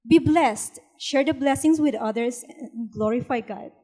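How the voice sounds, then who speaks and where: A woman's voice: high-pitched, unhurried, warm tone. A woman from the Philippines, a business PhD student on a panel, answering earnestly with a few fillers.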